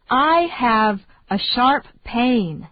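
A woman reading English phrases slowly and deliberately for learners to repeat. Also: on the high side, lively intonation, slightly rough, dry recording. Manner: slow, deliberate, carefully enunciated. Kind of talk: slow repeat-after-me drill. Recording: dry, tinny phone-like sound.

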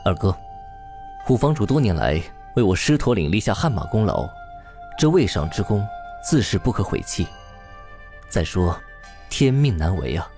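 A man playing an aloof, philosophical demon king in a fantasy audio drama, speaking in measured, formal, archaic phrases. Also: deep voice, very animated, darkish tone, faint music behind. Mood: calm, formal.

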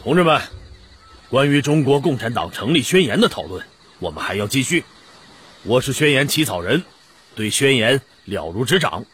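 Radio drama delegate: insistent formal orator, mid-pitched, raspy, animated. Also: male voice, moderate pace, heavily acted. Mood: confident. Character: self-assured, insistent male communist delegate. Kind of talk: Chinese historical radio drama acting.

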